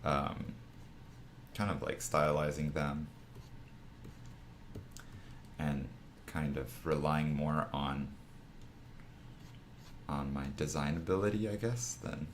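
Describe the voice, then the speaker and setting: A man with a raspy, groggy morning voice, casually rambling through a drawing lesson on a live stream, with many restarts. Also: extremely low pitch, steady tone, slow, long pauses, slight background noise. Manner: rambling, full of restarts and fillers. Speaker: art streamer teaching figure design.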